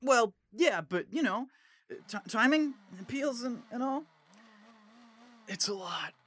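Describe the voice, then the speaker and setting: A man's voice: extremely high pitch, expressive, slow pace, fairly bright tone, a little hiss or hum, heavily acted. A young man acting in an audio drama as an eager, slangy slacker who stammers awkwardly. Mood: eager, showing off. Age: young adult. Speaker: actor in a student audio drama.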